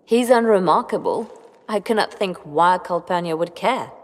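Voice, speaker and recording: A woman playing a composed, calculating spymaster in a video game, speaking in a measured, formal and precise way. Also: lowish voice, expressive. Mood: businesslike. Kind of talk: scripted video game dialogue. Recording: long hall reverb.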